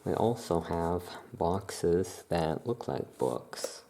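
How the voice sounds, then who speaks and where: Very low, creaky, soft-spoken woman. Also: animated, drawn-out words, a little hiss or hum. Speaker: ASMR roleplayer playing a witch shopkeeper. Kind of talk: soft-spoken ASMR shop roleplay.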